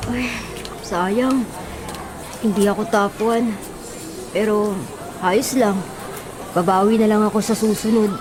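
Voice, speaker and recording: A woman's voice: mid-range voice, steady tone, slow, long pauses, a crowd in the background, lightly acted. A woman acting polite, downhearted little Filipino schoolboy. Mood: resigned, polite. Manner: respectful, childlike, earnest. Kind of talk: Filipino radio drama acting. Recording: music under the voice.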